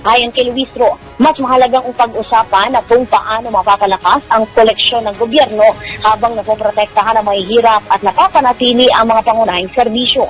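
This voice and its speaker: High-voiced man reading a radio news report. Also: rough recording. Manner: brisk, formal reading with a sign-off tagline. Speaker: field reporter.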